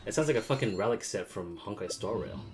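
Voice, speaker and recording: A man's voice: fairly low pitch, expressive, fairly quick. A man streaming a video game with casual, slangy, sweary reactions and muttered asides. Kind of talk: casual reacting commentary over gameplay.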